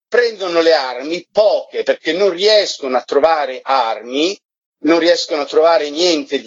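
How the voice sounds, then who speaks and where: An Italian-accented man speaks as an interview guest, explaining and storytelling with emphatic repetition. His voice is fairly high and a bit rough, on a dry, slightly dull remote recording. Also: no echo. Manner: emphatic, repeats and lists for effect. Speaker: theatre director, interview guest. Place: remote link from Florence.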